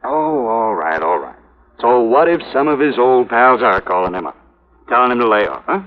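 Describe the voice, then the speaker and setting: A man's voice: medium pitch, animated, normal speed, natural voice. A man playing a brash police sergeant from a 1950s radio crime show. He is slangy, cocksure and dismissive. The recording is tinny and narrow, with faint hiss. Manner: slangy, cocksure, brushing off objections. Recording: tinny, slightly noisy vintage radio recording.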